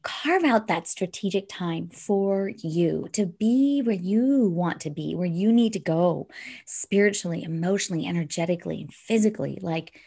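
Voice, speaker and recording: A woman's voice: on the low side, normal speed, vocal fry, slightly rough. A woman co-hosting a casual spiritual podcast, who swears freely and tells stories with lots of laughter. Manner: swears freely, laughs often, animated storytelling. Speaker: podcast co-host.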